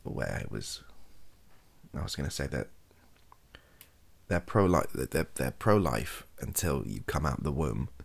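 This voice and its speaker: A young man with a husky, gravelly voice, rambling softly and wryly to camera, often hesitating and correcting himself. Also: a bass voice, very animated, a little slow. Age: young adult. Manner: hesitant, self-correcting, wry. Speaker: ASMR comedy video creator. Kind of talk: improvised soft-spoken rambling to camera. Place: small home bedroom office.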